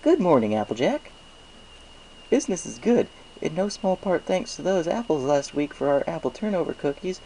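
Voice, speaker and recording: Casting: chirpy cartoon pony baker, played by a man, pitched well up, folksy and eager, noisy recording. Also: sing-song, normal speed, gravelly. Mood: cheerful, welcoming. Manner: bright, eager, folksy shopkeeper. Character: cheerful, chatty male cartoon pony baker.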